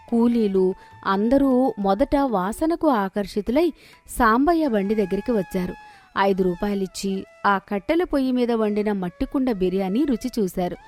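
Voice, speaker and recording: A woman's voice: mid-range voice, a little mellow. A woman storyteller narrating a scripted moral story.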